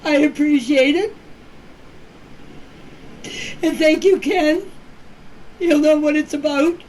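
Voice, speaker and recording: Slow-talking, high-voiced middle-aged woman. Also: a little mellow, a little hiss or hum, low-quality recording. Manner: plainspoken, earnest, emphatic, repeats herself for stress. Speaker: community member speaking about a case. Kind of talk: informal personal voice messages. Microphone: phone voice message.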